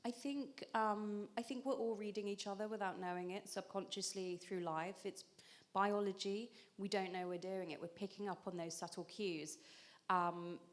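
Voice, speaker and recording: A woman's voice: medium pitch, fairly even intonation, moderate pace. A woman in her thirties, an acupuncturist on a panel, explaining at length in a conversational way with frequent ums and restarts.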